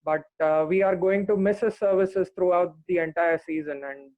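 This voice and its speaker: A man speaking as a guest on a podcast, talking earnestly and pausing often on "uh". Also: sits high, steady tone, no room sound. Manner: earnest, many "uh" pauses. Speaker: podcast guest, cricket fan. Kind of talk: casual podcast chat. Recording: dry tinny phone-like line.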